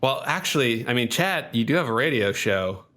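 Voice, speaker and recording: A man playing a tabletop game, talking casually and haltingly in character, peppering his questions with "like". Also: mid-range voice, animated, a bit bright. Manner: halting, frequent "like". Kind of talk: casual improvised in-character chat.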